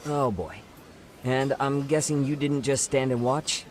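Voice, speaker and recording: A shrewd private investigator voiced by a man with a medium-pitched voice. He talks in a casual, knowing way, like a character in a game cutscene. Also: steady tone, measured pace, darkish tone, natural voice. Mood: wry. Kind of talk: video game cutscene acting.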